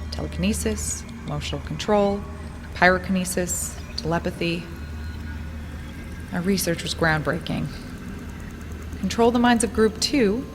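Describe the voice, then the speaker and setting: A clinical female scientist with a lowish voice, precise and detached, used to giving orders. It is audio drama, with clearly noisy ambience around the voice. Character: cold, clinical female research scientist, coaxing and commanding.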